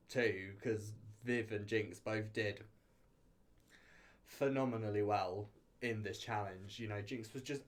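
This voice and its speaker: A man giving a casual, chatty video review, talking in a hesitant, rambling way with frequent hedging and self-interruption. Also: fairly low pitch. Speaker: reality show reviewer.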